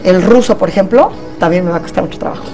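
A woman's voice: lowish voice, racing, a little mellow, faint music behind. A woman with a Mexican accent, a therapist guest in a casual panel chat, talking in a chatty way with many tag questions.

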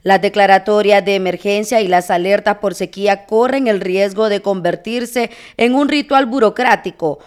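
A woman's voice: medium pitch, smooth. Woman reading news commentary. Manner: measured, formal reading. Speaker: news reader. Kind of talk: reading an opinion piece on air.

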